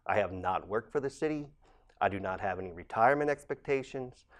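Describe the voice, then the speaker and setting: Earnest man, lowish voice. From Ohio, USA. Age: late 40s. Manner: measured, earnest, formal. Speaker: council president candidate. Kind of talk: campaign statement to camera.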